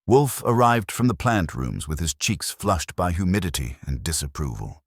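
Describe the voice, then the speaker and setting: A man's voice: low voice, big pitch swings, moderate pace, husky, dry recording, clean studio sound. A man reading a detective story aloud as a scripted audiobook narration.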